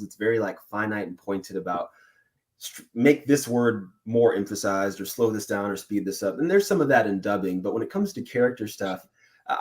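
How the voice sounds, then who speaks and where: A man who works as a voice actor, telling stories in a casual interview with animated, excitable energy and frequent laughter. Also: lowish voice. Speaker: voice actor guest.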